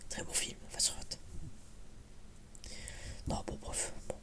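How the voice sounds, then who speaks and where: A man whispering in a breathy, almost unvoiced voice, chatting casually and hesitantly in a rambling, blunt, slangy ASMR style. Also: slow. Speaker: ASMR YouTuber, film reviewer.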